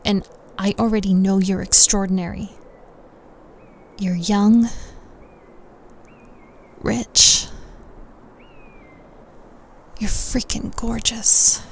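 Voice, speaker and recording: A woman plays a young secret society recruiter from an elite prep school, in an intimate audio roleplay. She speaks slowly, with a creaky edge and phrases that sink toward a whisper. Her manner is coaxing and confiding, with quiet menace underneath. A faint noise sits behind the voice. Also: medium pitch. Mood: insistent, flattering, then coaxing, commanding.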